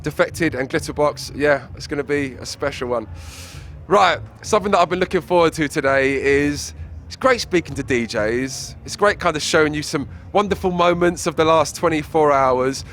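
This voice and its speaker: A chatty middle-aged man presenting a festival show, his voice sitting a little high for a man. He reads viewer shout-outs in a relaxed, easygoing way, with a faint hiss of noise underneath. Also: moderate pace. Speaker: show host.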